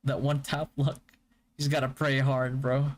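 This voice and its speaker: A young man from the Philippines whose first language is Hiligaynon chats with his viewers at a middling pitch. His delivery stays fairly flat and even, easygoing and stop-start, with plenty of restarts as he answers their questions. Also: normal speed. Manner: relaxed, stop-start, with frequent fillers and restarts. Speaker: game streamer. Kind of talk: casual live-stream chat answering viewers.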